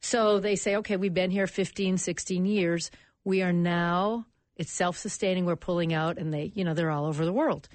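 Animated American woman telling a story. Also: on the low side, normal speed, no echo. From United States. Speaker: radio guest. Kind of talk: interview storytelling.

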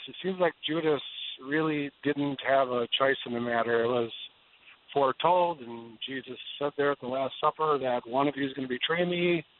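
A man calling in to a radio show, putting a question in a casual, plain way. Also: a little high. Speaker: radio caller. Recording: tinny phone line.

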